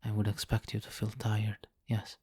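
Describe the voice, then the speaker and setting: A man playing a possessive, teasing vampire king in an intimate ASMR roleplay, commanding and breathy, often slipping into whispers on a close mic. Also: lowish voice, monotone, moderate pace, dark tone, no echo. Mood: satisfied, teasing. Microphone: close microphone, dry.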